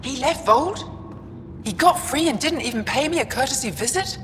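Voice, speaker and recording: A woman's voice: high voice, lively intonation, moderate pace, background noise. An actress playing a wry, pointed woman in a sci-fi video game. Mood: hurt, indignant. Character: wry, indignant sister in a sci-fi adventure. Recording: long reverberant tail, music behind.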